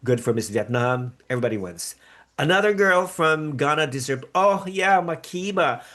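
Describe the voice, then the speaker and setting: A man's voice: a little high, lively intonation, normal speed, a bit bright. A man born in the Philippines who grew up in America, hosting a live reaction stream in a rambling, chatty way with upbeat bursts. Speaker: podcast host reacting live to a pageant. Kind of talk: live-stream reaction chat reading viewer comments.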